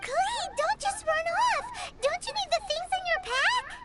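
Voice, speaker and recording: A tiny chatty companion creature. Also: female voice, very high voice, expressive, a little slow. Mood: fussing. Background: game music.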